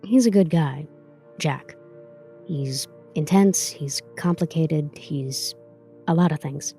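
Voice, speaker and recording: A woman's voice: deep voice, slow, warm tone, soft background music. A woman playing a wry, world-weary character with a hidden kind streak in an audio drama, offhand and sarcastic. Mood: wry, reassuring. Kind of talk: dark superhero audio drama acting.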